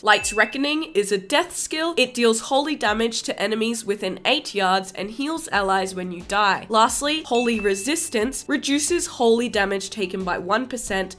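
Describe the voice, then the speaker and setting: A woman's voice: fairly high pitch, a bit bright. A woman with a congested, stuffy voice, narrating a step-by-step gaming guide and carefully explaining terms. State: congested from a cold. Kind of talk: scripted game guide narration.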